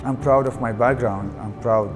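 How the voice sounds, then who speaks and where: A man in his thirties with a Syrian Arabic accent talks slowly and thoughtfully in a documentary interview. His voice sits at an ordinary male pitch. A clear music bed plays under his words. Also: fairly even intonation. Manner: soft-spoken, reflective, with restarts. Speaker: artist. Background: background music.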